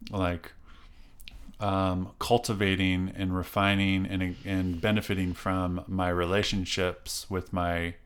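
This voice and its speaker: A middle-aged man with a very deep voice co-hosts a parenting show in a casual, reflective way. He thinks aloud as he talks, halting often with fillers and restarting his sentences. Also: clear, resonant tone. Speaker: parenting podcast co-host. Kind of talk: casual conversation.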